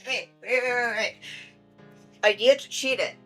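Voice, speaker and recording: A woman's voice: a little high, measured pace, sharp tone. A woman co-host interjecting in casual banter, teasing and a little argumentative. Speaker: co-host interjecting in a story reading. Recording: music bed under the voice. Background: music.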